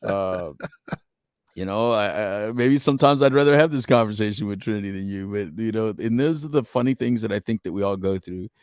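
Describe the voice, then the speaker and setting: A man's voice: fairly low pitch, brisk, darkish tone, dry recording, studio quality. A middle-aged man with an American accent talking casually and reflectively as a podcast co-host, earnest and rambling, repeating phrases for emphasis. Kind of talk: casual reflective relationship talk.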